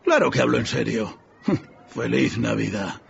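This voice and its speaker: An actor playing a grumpy, scornful old miser in a radio drama, grumbling curtly in a gravelly voice. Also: male voice, mid-range voice, big pitch swings, a little slow, soft background music, heavily acted. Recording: dull lo-fi radio recording, faint noise.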